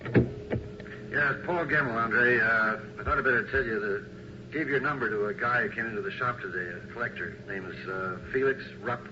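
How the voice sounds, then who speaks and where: An actor playing a nervous, halting shopkeeper leaving an answering machine message in a 1980s radio drama. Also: male voice, mid-range voice, lo-fi sound, noisy background, close to an everyday voice. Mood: uneasy. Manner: halting, hesitant, nervous chuckles. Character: nervous male camera shop acquaintance leaving phone message.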